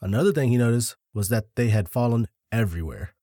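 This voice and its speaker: A man co-hosting a podcast, telling a story in a laid-back, deliberate way with dry jokes, a little short of breath. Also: mid-range voice, animated, mellow, no echo. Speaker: podcast co-host.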